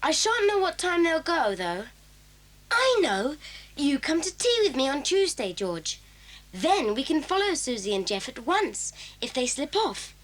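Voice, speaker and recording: A woman voicing an earnest, excited schoolboy in a radio play. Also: high voice, unhurried, full character voice, poor audio. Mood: eager. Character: eager, determined schoolboy.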